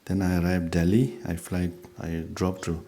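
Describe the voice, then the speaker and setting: Deep, mellow-voiced man teaching gently. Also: little pitch movement. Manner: unhurried, halting, gently humorous. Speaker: Buddhist teacher. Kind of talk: dharma talk with storytelling.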